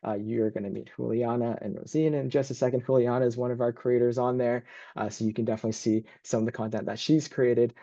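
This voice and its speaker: A man's voice: medium pitch, little pitch movement, fast talker, warm tone, dry recording, highs cut off. A man working as a university admissions advisor, hosting and presenting an informal online information session.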